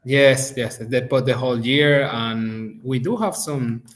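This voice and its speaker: A man from Venezuela with a Venezuelan accent, a native Spanish speaker talking casually as an interview guest, relaxed, restarting phrases and laughing easily. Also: medium pitch, unhurried. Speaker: podcast guest.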